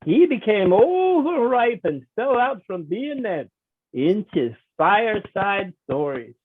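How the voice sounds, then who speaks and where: A performer voicing a hapless little hobbit jester haltingly at an amateur table read over a call. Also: medium pitch, sing-song, husky, no echo, exaggerated voice. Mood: hesitant. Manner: hesitant, halting. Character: small hapless male hobbit jester, unsure. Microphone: online call microphone. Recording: muffled, phone-like call audio.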